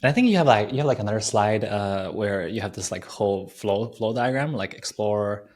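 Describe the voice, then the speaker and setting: A man's voice: on the low side, brisk, a little mellow. A man hosting an interview in a casual, conversational style, introducing and questioning a guest. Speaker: interview host.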